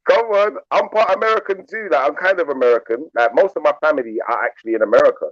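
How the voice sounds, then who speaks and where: A man's voice: on the high side, quick pace, no room sound, highs cut off. A man from England, talking loosely in a casual group chat and filling his speech with "like" and "you know". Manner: laid-back, rambling, says "like" and "you know" a lot. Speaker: panel guest.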